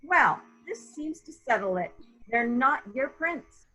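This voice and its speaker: A woman playing a brisk, probing investigator who questions witnesses deliberately in a murder mystery reading. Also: high voice, sing-song, sharp tone, heavily acted, rough recording. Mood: pointed, businesslike.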